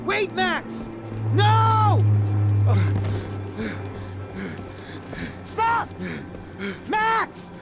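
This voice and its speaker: A man playing a panicky, exasperated desk cop in a video game, calling out in frantic, pleading shouts. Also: very high-pitched, drawn-out words, exaggerated voice. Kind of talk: video game action dialogue. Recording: loud music behind shouting.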